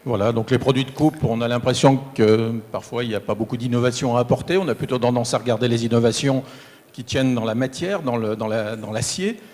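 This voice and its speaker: Male presenter handing over a trophy, measured delivery, hall echo. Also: medium pitch, a little mellow. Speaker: award presenter. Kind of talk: formal prize presentation. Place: large exhibition hall. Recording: hall reverb.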